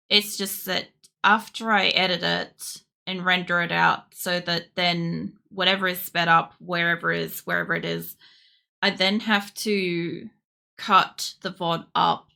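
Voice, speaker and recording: A tired young woman streamer chatting casually while she draws, with a croaky voice and a rambling way of talking full of fillers. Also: lowish voice, fairly even intonation, a little slow, pushed and bright. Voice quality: croaky, gravelly. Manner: rambling, with many fillers and restarts. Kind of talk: casual chat during a drawing stream.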